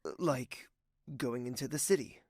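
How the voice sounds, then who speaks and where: A man's voice: medium pitch, moderate pace, a little mellow, no echo, clean studio sound, close to an everyday voice. A man playing a tender, secretive young prince with a formal, hesitant way of speaking in a romantic audio drama. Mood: wistful. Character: soft-spoken young prince in love, secretive and wistful. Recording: music under the voice.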